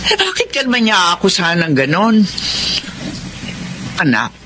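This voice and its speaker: A woman's voice: mid-range voice, wildly swooping pitch, moderate pace, noisy background. A woman playing a concerned, inquisitive mother of a grown daughter in a radio drama.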